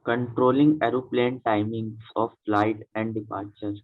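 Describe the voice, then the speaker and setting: A man's voice: mid-range voice, little pitch movement, unhurried, no room sound. A male student giving a short answer in a class. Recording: dry, tinny phone-like line.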